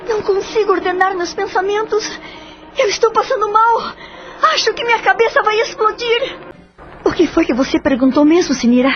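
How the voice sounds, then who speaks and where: A woman's voice: very high-pitched, moderate pace. An actress playing a middle-aged mother who speaks in an anxious, pleading, distressed way. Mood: desperate. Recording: dull lo-fi audio with faint music.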